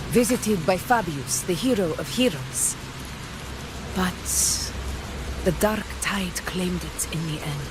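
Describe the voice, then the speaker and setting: Slow, dignified warrior leader. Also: female voice, fairly low pitch, background noise. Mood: solemn. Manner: formal, measured, solemn. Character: dignified, resolute warrior woman leader, grave and loyal. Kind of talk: video game voice acting.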